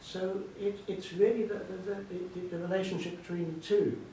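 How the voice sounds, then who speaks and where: A man's voice: level tone, normal speed, warm tone. An older man speaking as an author in a literary discussion before an audience, in an emphatic, discursive way. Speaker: author being interviewed. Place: echoey hall at a live book event. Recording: very echoey, distant mic, faint rumbling noise.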